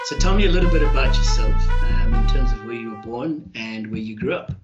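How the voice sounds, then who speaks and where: A young man hosts a podcast interview over a video call, upbeat and eager. His voice sits mid-range. Loud music plays under his talk. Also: mid-range voice, brisk. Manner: enthusiastic, repeats words, upbeat. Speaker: podcast host. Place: indoor room.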